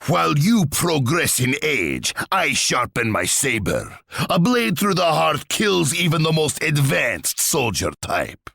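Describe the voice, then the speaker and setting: An actor playing a scheming, imperious Russian tsar in a video game, pompous and menacing, savouring each taunt in a gravelly, raspy voice. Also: male voice, fairly high pitch, wildly swooping pitch, takes it slowly, pushed and bright, no echo, studio quality, exaggerated voice. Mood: scornful, imperious. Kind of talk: theatrical strategy game taunts.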